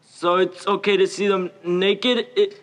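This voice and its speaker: A teenage male student acting on stage as a gentle, earnest outcast who speaks in a halting stammer. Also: mid-range voice, steady tone, close to an everyday voice. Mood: questioning. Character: gentle, earnest, deformed young man seeking acceptance. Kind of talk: high school stage play acting.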